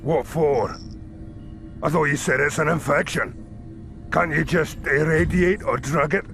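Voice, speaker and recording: A man playing a gruff, sardonic space marine in a science fiction drama, blunt in manner. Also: on the high side, measured pace, music in the background. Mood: dismayed.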